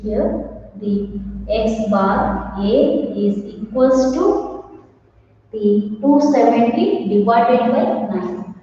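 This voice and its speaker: A woman with an Indian accent giving a college maths lecture in a halting, repetitive, step-by-step way. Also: a little high, dark tone. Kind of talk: maths lecture, working through calculations. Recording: echoey room, distant mic, muffled, noisy.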